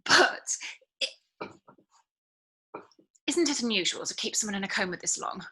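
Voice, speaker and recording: A woman's voice: medium pitch, animated, normal speed, sharp tone. An actress playing a bewildered, blunt young woman in a scripted drama read over a video call. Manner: blurting, questioning. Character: bewildered, blunt woman in her late twenties.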